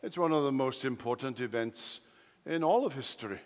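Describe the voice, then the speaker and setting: A man with a Welsh accent, a native Welsh speaker, preaching an expository sermon, emphatic, swinging between loud declarations and quiet asides. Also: medium pitch, moderate pace. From Wales. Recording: long hall reverb.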